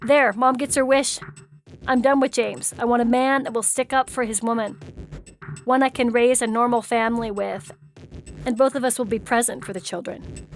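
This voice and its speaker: A woman's voice: on the high side, fairly even intonation, normal speed, natural voice. A woman playing a defiant, resentful young woman in a dramatized story reading. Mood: resolved.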